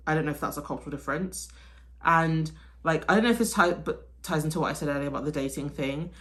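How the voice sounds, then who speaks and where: Young woman, from the UK, deep low voice, chatty and rambling, faint music underneath. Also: a bit bright. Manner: chatty, lots of "like" and restarts. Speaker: vlogger, teacher in Korea. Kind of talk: casual get-ready-with-me Q&A.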